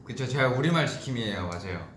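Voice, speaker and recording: Bright, playful young man in a roomy room. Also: medium pitch, expressive, fast talker, slight background noise. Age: young adult. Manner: playful, teasing, reads chat aloud. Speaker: idol livestream host reading viewer comments. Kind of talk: casual livestream chat. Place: small indoor room at a table.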